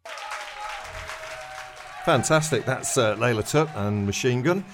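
A man's voice: mid-range voice, animated, unhurried, over music, a crowd in the background. A man presenting a radio show, chatting casually with a guest in a rambling, self-mocking way.

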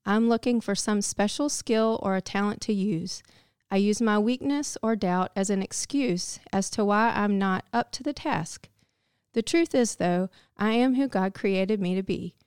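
A woman's voice: medium pitch, a little slow, a little mellow, clear, resonant tone, no echo, studio quality. A woman giving a scripted, reflective devotional narration. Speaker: devotional speaker.

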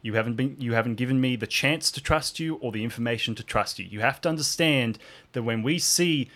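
Wary rookie ranger, played by a man, sincere and plain-spoken, slightly halting, medium pitch, improvised audio drama. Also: a bit bright, close to an everyday voice. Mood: wary, defiant. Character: earnest, wary newly commissioned young male park ranger.

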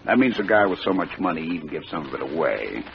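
An American actor playing a sarcastic, college-educated police lieutenant in a 1950s radio drama, articulate and needling. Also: male voice, extremely low pitch, very animated, fast talker, vocal fry, exaggerated voice. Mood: lecturing. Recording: dull, noisy old radio recording.

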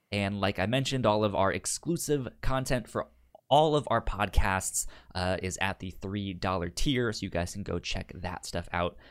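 A man hosting a podcast in casual review chat, speaking haltingly with stammered, repeated syllables. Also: lowish voice. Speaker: podcast host.

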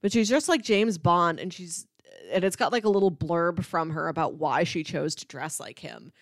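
A woman's voice: lowish voice, moderate pace, no echo, clean studio sound. A woman co-hosting a casual film discussion in an articulate, explanatory way. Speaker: podcast co-host.

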